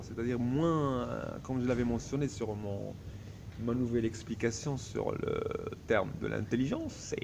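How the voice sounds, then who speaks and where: A man's voice: mid-range voice, noisy background. A man whose first language is Arabic, delivering a grandiose solo video monologue in a self-assured tone, full of hesitations. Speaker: vlogger.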